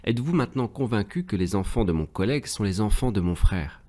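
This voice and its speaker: A man voicing a gentle, pious father patiently teaching his children in a French cartoon, measured and didactic. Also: lowish voice, animated. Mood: earnest teaching.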